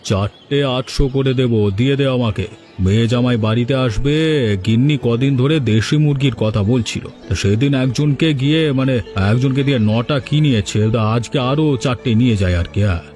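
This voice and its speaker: A man's voice: medium pitch, normal speed, darkish tone, lightly acted. A man voicing a blustering middle-aged village headman in a cartoon, with music underneath. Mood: businesslike. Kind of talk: Bengali cartoon voice acting.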